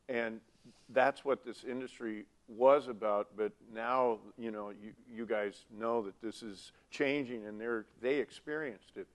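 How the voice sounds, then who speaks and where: A middle-aged man, a professor, reflecting and lecturing students at a panel. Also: mid-range voice. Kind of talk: panel discussion.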